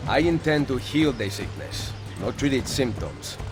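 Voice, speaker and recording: An actor playing a determined, defiant Italian assassin in a game cutscene, speaking tersely and formally with an Italian accent. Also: male voice, medium pitch, sing-song, normal speed, natural voice. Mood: resolute. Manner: firm, terse, formal phrasing. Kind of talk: video game cutscene acting. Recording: game music behind the voice. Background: music.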